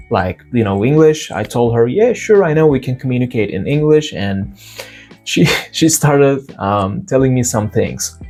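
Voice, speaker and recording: A man's voice: mid-range voice, a little mellow. A young man from Turkey with a Turkish accent and Turkish as his first language, hosting an English learning channel and talking earnestly to camera, acting out stories with quoted dialogue. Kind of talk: direct-to-camera motivational talk.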